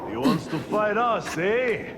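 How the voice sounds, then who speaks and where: A sneering thug from a video game, spoiling for a fight. The voice is high and swings up and down at a slow pace. Loud ambient noise sits behind it. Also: male voice, animated, creaky, full character voice. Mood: defiant. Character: belligerent male gangster thug, defiant and sneering. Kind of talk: video game cutscene acting.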